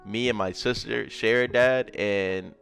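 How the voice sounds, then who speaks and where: Laid-back Memphis guy, low voice. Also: fairly even intonation, a little slow, vocal fry, fairly bright tone, faint music behind. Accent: Memphis Southern. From Memphis, Tennessee. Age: young adult. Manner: laid-back, rambling, adds drawing sound effects. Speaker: gaming content creator. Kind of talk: casual storytelling while drawing.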